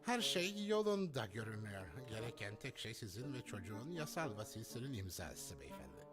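Shifty officious male lawyer for cartoon, impatient, very animated pitch, over music. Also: fairly quick. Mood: businesslike. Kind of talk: dubbed cartoon acting.

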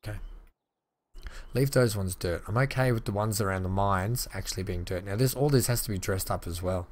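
A slightly hoarse man chatting casually as he plays and narrates a transport-building game, thinking aloud with upbeat asides. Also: fairly low pitch, a little fast. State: slightly hoarse, losing his voice. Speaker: gaming channel host. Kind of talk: casual let's-play commentary.

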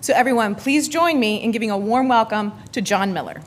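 A woman's voice: on the high side, brisk, heavy background noise. A woman at a conference podium reading a prepared introduction of the next speaker into a podium mic.

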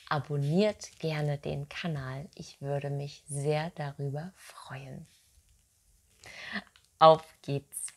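A woman in her thirties teaching German from home, saying each word twice slowly and clearly into a webcam. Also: deep voice. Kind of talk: slow, deliberate pronunciation drill. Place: home room, seated in armchair. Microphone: webcam, head-and-shoulders framing.

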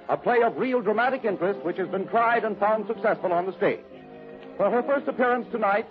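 An old, dull-sounding 1930s radio broadcast of a male announcer. His voice is high and swings widely in pitch. He delivers formal introductions and sponsor copy.

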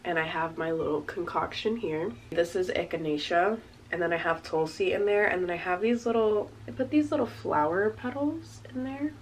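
Casting note: twenties woman, rambling fashion-vlog chatter, slight congestion rasp, recorded by a camera mic a few steps back with faint hiss. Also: fairly low pitch, moderate pace, recorded from a distance, poor audio. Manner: chatty, rambling, upbeat, frequent "like" fillers. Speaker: fashion vlogger. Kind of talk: casual try-on chat. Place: small bedroom with backdrop, indoors. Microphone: camera mic from a few meters away.

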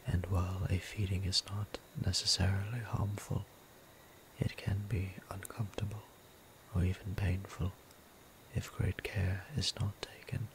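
A man playing a gentle, courteous vampire priest in an ASMR roleplay, speaking softly and breathily in a formal, soothing way. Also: low-pitched, flat delivery, a little hiss or hum, poor audio. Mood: earnest, hesitant. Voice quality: soft, breathy near-whisper. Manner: slow, deliberate, formal, soothing. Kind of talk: intimate ASMR roleplay acting.

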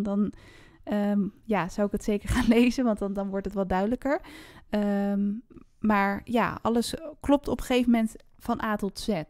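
A woman's voice: mid-range voice, a little mellow, no room sound, studio quality. A woman chatting casually about books as a podcast host, in a conversational, unscripted way. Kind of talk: casual book discussion.

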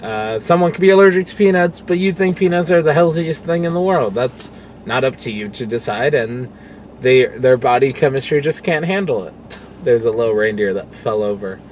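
Young male vlogger, casual and frank, records himself in a moving car with steady road hum. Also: mid-range voice, moderate pace. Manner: rambling, candid, swears freely. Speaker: vlogger and pro wrestler. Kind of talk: casual talk to camera while driving. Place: inside a car, driving. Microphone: phone camera on dashboard. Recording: car interior with road noise. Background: road and engine noise.

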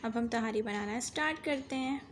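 Cooking host, woman, high pitch, patient instructive voice-over. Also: brisk, slight background noise. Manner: instructive, repeats "dekhiye" to guide viewers.

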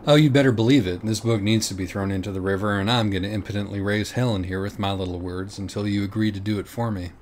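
Cocky sardonic young guy, lowish voice, argumentative, faint background noise, audiobook dialogue played by a man. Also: fairly even intonation, fast, few pauses, a little mellow, lightly acted. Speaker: audiobook reader.

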